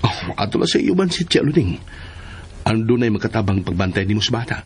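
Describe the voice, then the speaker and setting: A man playing an agreeable husband and young father in a Filipino radio drama, with a husky, raspy voice and a Filipino accent. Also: lowish voice, sing-song, fast, heavily acted. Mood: coaxing, agreeable.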